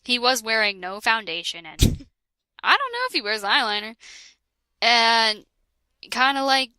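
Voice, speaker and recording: A woman's voice: on the high side, big pitch swings, unhurried, sharp tone, clean tone, no echo, low-quality recording. A young woman reading a fan fiction aloud for laughs, breaking off into jokes and mock-dramatic voices. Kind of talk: comedic dramatic reading with chat.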